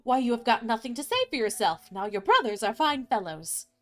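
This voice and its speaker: Woman doing a stern, animated storybook father. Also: fairly high pitch, a little fast. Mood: dismissive. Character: stern, dismissive old father in a fairy tale.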